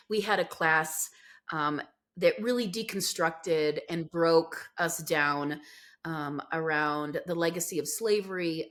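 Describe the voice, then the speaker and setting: Earnest middle-aged woman, lowish voice. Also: a bit bright. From United States. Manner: earnest, reflective, frequent um fillers. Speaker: podcast guest. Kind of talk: candid conversational storytelling.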